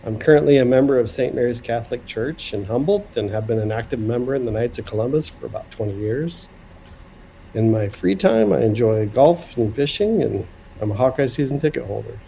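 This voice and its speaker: A man in his fifties from Iowa talks in a plainspoken, friendly way, with light humor. His voice sits at a middle pitch. It comes over a video call with faint noise behind it. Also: expressive, a little fast, gravelly, mellow, poor audio. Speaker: guest, school superintendent. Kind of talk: podcast interview.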